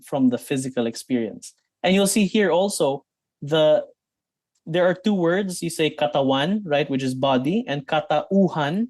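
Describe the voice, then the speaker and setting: A man's voice: on the high side, darkish tone, dry recording. A Filipino man with a Filipino accent, a psychologist answering interview questions in an explanatory way. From Philippines. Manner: explanatory, mixes in Tagalog terms, many restarts. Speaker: psychologist guest.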